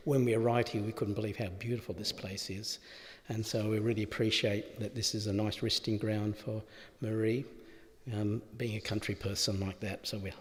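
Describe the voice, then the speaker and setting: A man giving a halting, informal thank-you speech at a funeral. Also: on the low side, fairly bright tone. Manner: halting, informal, with fillers and asides. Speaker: family member speaking at a funeral. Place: funeral chapel. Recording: long reverb of a large hall.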